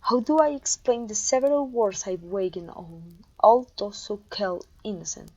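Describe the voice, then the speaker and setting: A woman's voice: medium pitch, normal speed, a little mellow, highs cut off, natural voice. A woman playing a haughty, domineering evil queen and sorceress in a scripted roleplay audio, imperious, scornful and theatrical. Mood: impatient, arrogant. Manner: imperious, scornful, theatrical, with sighs and disgusted groans.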